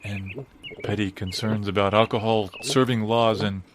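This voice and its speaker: A man's voice: lowish voice, fairly even intonation, moderate pace, background noise, close to an everyday voice. A man playing a flustered, polite bartender in improvised audio comedy, stammering earnestly and hesitantly. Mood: awed. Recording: guitar music behind. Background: guitar music.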